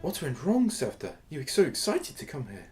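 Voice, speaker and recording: A woman's voice: deep voice, wildly swooping pitch, a little fast, over music. A woman playing a caring, curious grandchild in a radio drama, sympathetic and questioning.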